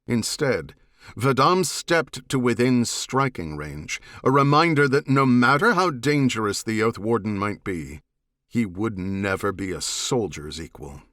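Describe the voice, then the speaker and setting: Low, dry-recorded male audiobook narrator. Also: animated, fairly bright tone, dry recording. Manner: measured, dramatic, serious. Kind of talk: scripted narration of science fiction novel.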